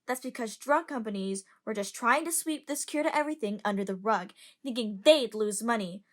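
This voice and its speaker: A woman's voice: on the high side, a little fast, natural voice. A woman playing an outspoken young passenger. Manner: chatty, lecturing. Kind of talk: amateur audio drama acting.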